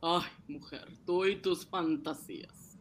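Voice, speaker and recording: A man acting a simple, excitable, poor ice-cream vendor and husband in a radio serial, with folksy, naive exclamations. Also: a little high, slow. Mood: fond.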